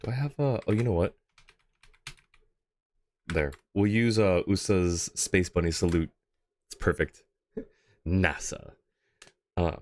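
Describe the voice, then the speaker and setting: A man's voice: sits low, a little mellow. A man hosting a casual livestream, talking in an easygoing, conversational way as he answers chat. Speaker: livestream host.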